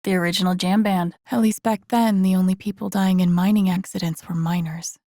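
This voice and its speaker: Video game lead: wry young woman, empathetic amateur sleuth, casual inner monologue, creaky voice, very dry close recording. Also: fairly low pitch, fairly even intonation, brisk, dark tone, no room sound. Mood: wry, musing. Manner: casual, muttering to herself, dry humor.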